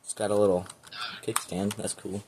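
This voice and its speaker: A man's voice: lowish voice, level tone, normal speed. A man giving casual, slangy unboxing commentary in an informal, rambling way. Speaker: amateur video reviewer. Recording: clearly noisy home recording with clicks.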